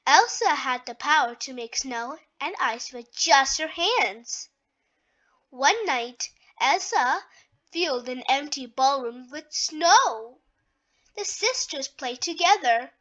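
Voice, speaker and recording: A woman reading a children's story aloud in a deliberate, expressive way with emphatic phrasing. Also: high-pitched, lo-fi sound.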